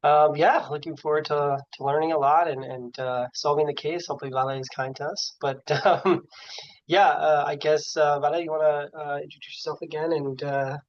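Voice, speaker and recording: An upbeat man co-hosts a medical case discussion, reasoning aloud with frequent fillers. His voice sits fairly high for a man. The video call audio is dull and lo-fi. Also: steady tone, normal speed. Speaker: co-host of a case conference, physician. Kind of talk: casual hosting and clinical reasoning.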